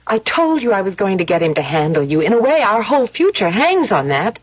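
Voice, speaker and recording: A persuasive, sweet-talking young wife. Her medium-pitched voice moves expressively in an intimate radio drama style, on a dry recording with slightly dulled sound. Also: sing-song, brisk, slightly rough, dry recording, muffled recording. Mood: earnest, pleading.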